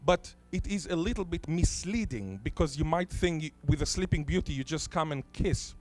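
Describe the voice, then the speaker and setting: A man whose first language is Hebrew, giving a conference talk in a lecture hall, posing rhetorical questions and stressing key words deliberately. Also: a little high, lively intonation. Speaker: conference speaker.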